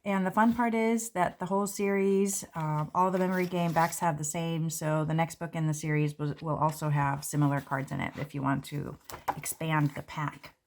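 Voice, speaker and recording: A woman, a children's book author, reading her picture book aloud and walking through its pages in a gentle, explanatory way. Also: sits low, steady tone, normal speed. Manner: gentle, explanatory, with light fillers. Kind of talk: read-aloud and book walkthrough. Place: indoors at a table.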